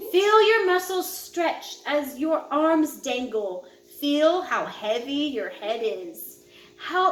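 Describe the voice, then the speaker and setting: A woman narrating a children's picture book in a measured, instructional way, phrasing clearly for young listeners. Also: high voice, a bit bright, roomy sound, a little hiss or hum. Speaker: audiobook narrator.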